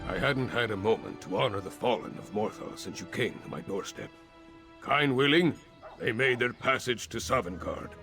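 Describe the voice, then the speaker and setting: A man's voice: fairly low pitch, normal speed, over music, close to an everyday voice. A man voicing a weary, dutiful Nord jarl. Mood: somber. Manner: somber, dutiful. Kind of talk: video game cutscene dialogue.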